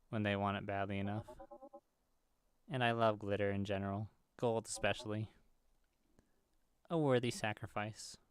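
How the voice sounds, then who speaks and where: A man's voice: on the low side, clean tone, no room sound. A man hosting a gaming video, reading visual novel dialogue aloud and now and then stumbling over the words.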